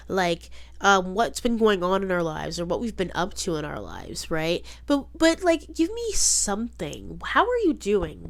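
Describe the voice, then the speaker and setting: A young adult woman hosting a podcast solo, chatting casually and emphatically, often repeating words and saying "like". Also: mid-range voice. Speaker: podcast host.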